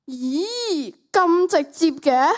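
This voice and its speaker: A woman's voice: sits high, lively intonation, very slow, a little mellow, full character voice. A teenage girl with a Hong Kong Cantonese accent playing an insecure, lovesick, eager-to-please young woman in a student radio drama. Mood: shy, eager.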